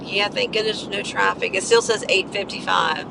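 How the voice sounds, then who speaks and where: A hoarse middle-aged woman chatting casually with her viewers during a live stream while driving. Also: mid-range voice, steady tone, moderate pace. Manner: chatty, coughing between remarks. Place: inside a moving car. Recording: road noise from a moving car.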